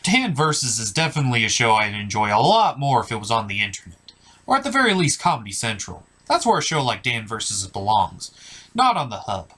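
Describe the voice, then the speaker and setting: Male cartoon reviewer, animated pitch, casual but theatrical, scripted comedy delivery. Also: a little fast.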